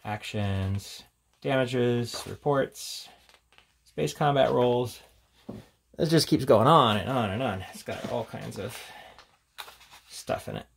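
A man's voice: mid-range voice, slow, vocal fry, pauses often, a little hiss or hum. A man giving an informal, offhand show-and-tell commentary as a reviewer, casually talking through what is in front of him. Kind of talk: casual hands-on book showcase commentary.

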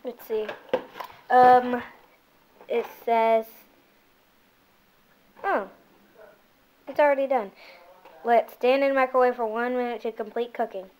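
A woman hosting a homemade cooking show from a home kitchen, bossy and offhand, stumbling over fillers. Her voice sits a bit high, and the handheld camera picks up a steady noisy hiss. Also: takes it slowly, long pauses.

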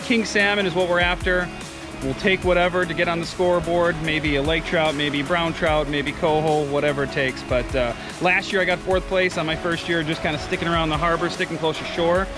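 A man's voice: a little high, fairly even intonation, a little fast, over music. A man in his thirties giving casual running remarks for a fishing vlog, recorded outdoors on an action camera close by. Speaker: fishing vlogger. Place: outdoors on a kayak on open water and on a beach.

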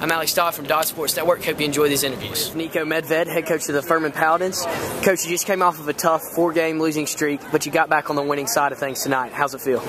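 A young man hosting postgame interviews in a basketball arena, asking eager, brisk questions. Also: fairly high pitch, steady tone, a crowd in the background. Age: young adult. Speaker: student sports reporter and host.

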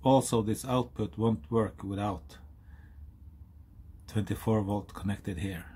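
A man in his forties from Norway, speaking English with a Norwegian accent, explaining a hobby project in a halting, self-correcting way. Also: fairly low pitch, husky. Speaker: hobbyist YouTuber. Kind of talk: casual DIY explainer. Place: outdoors on a hilltop, then indoors at a workbench.

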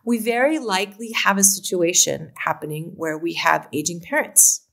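A woman's voice: lowish voice, moderate pace, creaky. A woman in her fifties from Oregon with an American accent, talking casually as a company co-founder on a video call. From Oregon, USA. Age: 50s. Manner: animated, gestures while talking. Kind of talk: casual interview talk. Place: home room. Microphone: video call webcam.

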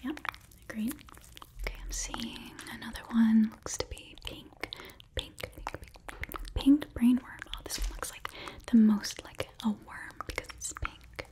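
A woman performing an ASMR roleplay in a soft, gentle whisper, often repeating her words. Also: a little high, steady tone, measured pace.

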